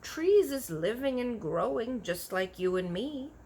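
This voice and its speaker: A woman's voice: a little high, expressive, measured pace, a little mellow, a little hiss or hum, voice pushed up. A woman voicing a kindly, big-eared storybook giant who is earnest, proud and easily offended, talking in folksy broken grammar with invented words. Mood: solemn, earnest. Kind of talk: animated children's storybook character voice.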